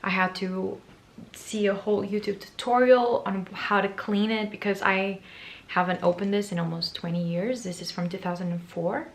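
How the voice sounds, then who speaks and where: A woman in her twenties chatting casually to camera in a book vlog. Her voice is raspy and hoarse from allergies, and she is chatty, excitable and self-mocking. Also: mid-range voice, moderate pace. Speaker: book vlogger.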